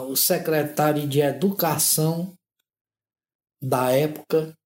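A man, an interview guest telling his life story in a measured, thoughtful way. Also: a little high, darkish tone. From Ceará, Northeast Brazil. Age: 60s. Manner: measured, thoughtful, with frequent "né?" tags. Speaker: interview guest, artist and modeler. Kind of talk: unhurried reflective storytelling. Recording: roomy echo.

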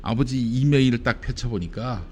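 A man's voice: medium pitch, a little fast. A middle-aged man from Chuncheon, South Korea, chatting casually on a panel and telling stories in a teasing, joking way.

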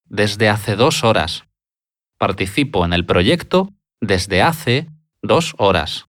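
Brief: man, lesson speaker, slow and low, dry close sound, repeats every answer in full sentences. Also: lively intonation, a bit bright, no echo. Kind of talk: slow, deliberate lesson dialogue.

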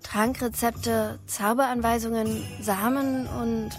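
A friendly teenage witch in an audio drama. She speaks in a lightly high voice with eager, welcoming energy, over clearly audible music. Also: fairly even intonation, slow. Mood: upbeat, welcoming. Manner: upbeat, welcoming. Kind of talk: German audio drama acting.

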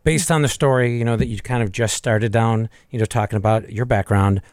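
A man's voice: medium pitch, steady tone, brisk, a little mellow, clear, resonant tone, dry recording, clean studio sound. A man hosting a podcast, asking casual, rambling questions full of restarts. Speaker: podcast host.

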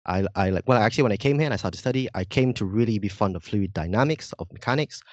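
A man's voice: on the low side, animated, fast talker, a little mellow, dry recording, dull audio. A young man from Indonesia with a slightly British-sounding accent, explaining things earnestly as a podcast guest, often adding "like" and "right?". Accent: slightly British-sounding English. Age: young adult. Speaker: podcast guest, university student. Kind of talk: casual interview chat.

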